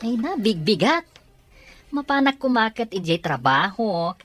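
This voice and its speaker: A woman's voice: medium pitch, very animated, unhurried, fairly bright tone, soft background music. A woman with an Ilocano accent voicing a teasing male friend in a radio drama.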